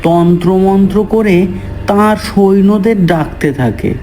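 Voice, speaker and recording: A man's voice: sits high, measured pace, warm tone, low-quality recording. A man serves as narrator for a cartoon story. He reads scripted narration over light background music.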